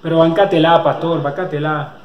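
A young man joking excitedly in slangy casual chat as he reacts to a series, from a small room on a webcam. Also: a little high, brisk, highs cut off. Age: young adult. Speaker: reaction video host. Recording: echoey room.

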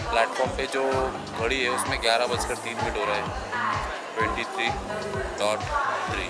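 A man's voice: mid-range voice, fairly even intonation, brisk, loud music behind the voice, over a noisy crowd. A man in his forties talking casually to a handheld camera on railway platforms and inside a train, chatting as he travels. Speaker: travel vlogger. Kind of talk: casual travel vlog narration. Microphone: camera held at arm's length.

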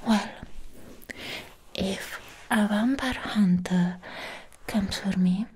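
Girl doing a clingy vampire ex for asmr roleplay, super slow breathy whisper right up on the mic, bit of hiss. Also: mid-range voice, a little mellow. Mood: uneasy, pleading. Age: young adult. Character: possessive, lovesick young female vampire ex-girlfriend. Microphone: close-up microphone.

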